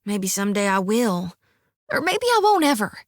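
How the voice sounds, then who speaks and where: A woman's voice: medium pitch, very animated, moderate pace, dry recording, studio quality, close to an everyday voice. An actress voicing an earnest, devout young farm-raised woman priest, folksy and stubborn. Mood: resolute. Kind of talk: video game voice acting. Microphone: dry studio mic.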